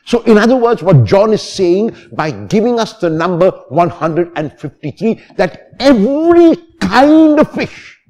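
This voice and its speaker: High-voiced older priest preaching slowly. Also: male voice, very animated, darkish tone. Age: 60s. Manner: deliberate, emphatic, with repeated phrases for stress. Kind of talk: homily, expository sermon. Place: church, at the lectern.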